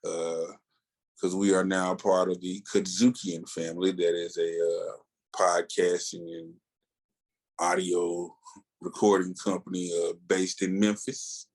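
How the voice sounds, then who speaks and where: A middle-aged man co-hosting a podcast, chatting casually in a laid-back, halting, slangy way. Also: sits low, little pitch movement. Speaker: podcast co-host and reviewer. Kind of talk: casual chat.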